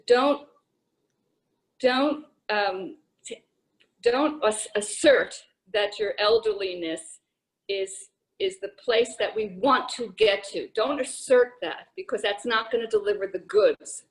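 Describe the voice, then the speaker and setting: A woman taking part in an unscripted poetry discussion, speaking in a halting but blunt and opinionated way. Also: mid-range voice, rough recording.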